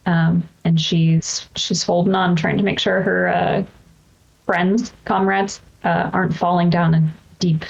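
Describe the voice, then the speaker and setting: A woman's voice: on the low side, little pitch movement, a little slow, creaky, highs cut off. A woman playing a tabletop roleplaying game, describing her character casually and a little tentatively.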